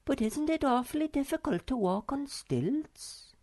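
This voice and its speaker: A man doing a very high, breathless young girl creature. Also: lively intonation, measured pace, darkish tone, exaggerated voice. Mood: anxious. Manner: breathless, anxious. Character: timid young female creature.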